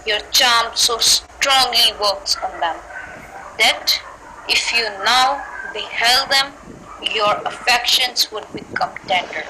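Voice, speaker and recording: Young woman as gentle airy spirit, slow, highish, Shakespearean verse, amateur recital, faint noise. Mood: sorrowful, earnest. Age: teenager. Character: Ariel, dutiful airy spirit, gently compassionate. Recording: faintly noisy home recording.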